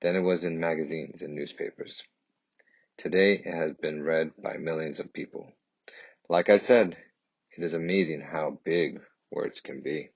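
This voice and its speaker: A man with a low, husky voice narrates a children's storybook. His delivery is earnest and deliberate, with dramatic phrasing. The recording is roomy and a little muffled. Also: fairly even intonation. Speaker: reader of a children's picture book. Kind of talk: expressive scripted storybook narration in first person.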